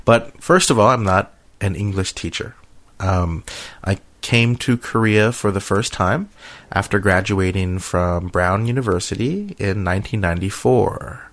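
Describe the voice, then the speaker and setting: A middle-aged American man hosting a casual conversational podcast, talking in a hesitant, halting way. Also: fairly low pitch, fairly bright tone. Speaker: podcast host.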